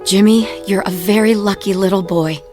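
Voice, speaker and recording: A woman acting in a radio drama as a skeptical but caring ex-wife, direct and expressive. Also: medium pitch, moderate pace, soft background music, natural voice. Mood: relieved.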